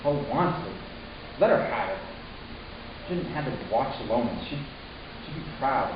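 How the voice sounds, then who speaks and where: A man playing a troubled, resentful human youth in a dramatic first-person story reading, halting and intense with deliberate pauses. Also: medium pitch, expressive, close to an everyday voice. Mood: anxious. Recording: distant, very echoey room, muffled, hissy.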